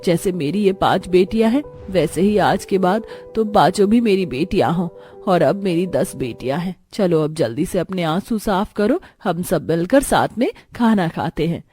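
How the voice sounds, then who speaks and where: A woman's voice: on the low side, lively intonation, normal speed, warm tone, soft background music, natural voice. A woman voicing a devoted, earnest village mother of many daughters in a cartoon story. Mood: tender.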